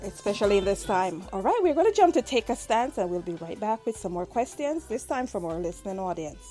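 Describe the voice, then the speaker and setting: A woman's voice: mid-range voice, sing-song, fairly quick, dark tone, faint music behind. A Jamaican woman hosting a studio interview, enthusiastic and encouraging, laughing often. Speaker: interview host. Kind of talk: radio-style interview. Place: music studio.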